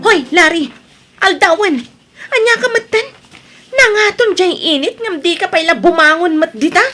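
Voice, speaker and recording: A woman plays a long-suffering Filipino young wife in an audio drama. She nags and pleads in exasperation, very high-pitched and sharp. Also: expressive, moderate pace, soft background music, exaggerated voice. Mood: scolding. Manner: scolding, pleading.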